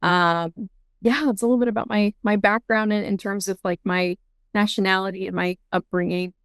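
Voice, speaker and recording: A young woman from Seattle talks through her career and her family in relaxed, rambling sentences full of ums and false starts. Her voice is smooth and clear. It sounds close and dry, with no room around it. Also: medium pitch, normal speed, no room sound. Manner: chatty, many ums and restarts. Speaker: guest, intake director at a law firm. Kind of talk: casual interview answers.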